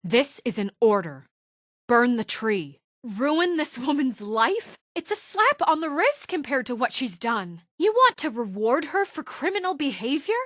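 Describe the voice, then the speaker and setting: Brisk, higher-voiced game heroine in charge. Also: female voice, very animated, a bit bright, dry recording, clean studio sound, exaggerated voice. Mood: stern, indignant. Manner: crisp, businesslike, earnest, firm. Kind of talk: naturalistic video game voice acting.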